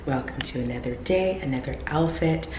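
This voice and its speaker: Low-voiced woman vlogging, echoey hallway. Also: normal speed, darkish tone, slight background noise. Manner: chatty, loose, many ums. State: losing her voice. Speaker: fashion vlogger. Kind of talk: casual outfit vlog. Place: hallway of a home. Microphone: camera held in mirror selfie. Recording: echoey hallway, faint ambience.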